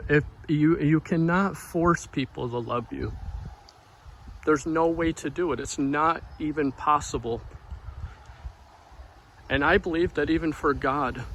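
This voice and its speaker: Quiet, slow-speaking man outdoors. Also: on the high side, a little hiss or hum, low-quality recording. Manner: quiet, soft-spoken, unhurried. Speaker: devotional vlogger. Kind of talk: informal spoken devotional. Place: outdoors near a street. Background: passing cars.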